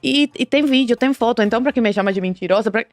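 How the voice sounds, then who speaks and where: A woman's voice: a little high, fast, dry recording. A woman from Cuba speaking emphatically and giving firm opinions as a podcast guest. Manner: emphatic, outspoken. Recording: background music.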